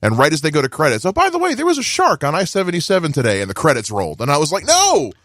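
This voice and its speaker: A man's voice: on the high side, wildly swooping pitch, rapid-fire, a bit bright, no room sound, clean studio sound. A man in a casual podcast chat, making wry, jokey asides. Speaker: studio guest.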